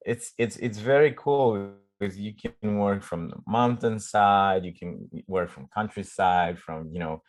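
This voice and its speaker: Romanian-accented man, very deep voice, halting interview talk, middle-aged. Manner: halting, searching for words, frequent restarts. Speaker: startup CEO guest. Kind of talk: casual conversational interview.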